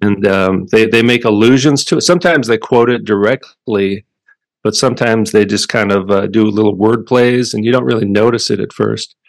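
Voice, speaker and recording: A man co-hosting a casual Bible study talk, thoughtful and halting, with a deep radio voice. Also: gravelly, dry recording. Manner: relaxed, thoughtful, halting with restarts. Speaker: Bible study podcast co-host. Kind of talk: casual Bible discussion and scripture reading.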